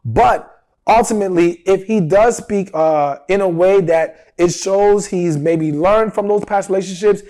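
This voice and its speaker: A man in his thirties giving dating advice straight to his viewers, his voice a little rough from a cold, earnest and emphatic. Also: high-pitched, a little slow, dark tone. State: recovering from a cold. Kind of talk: direct-to-camera advice talk.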